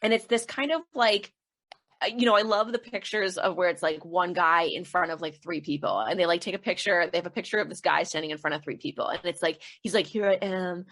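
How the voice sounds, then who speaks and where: A woman's voice: on the low side, fast, a bit bright. A woman chatting as a podcast guest, laughing often and acting out the people she quotes. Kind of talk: casual interview chat.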